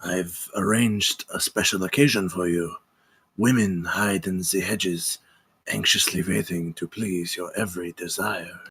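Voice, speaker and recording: A man playing a brooding, controlled, vengeful count in a dramatic table read, with a husky voice. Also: low voice, steady tone, unhurried, fairly bright tone, exaggerated voice, rough recording. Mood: smooth, guarded.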